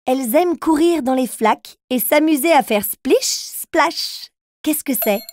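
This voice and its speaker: A woman playing an encouraging grown-up who puts riddles to small children in a playful, clearly spoken way. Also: high voice, expressive, measured pace, a little mellow, a little hiss or hum. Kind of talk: children's riddle game narration.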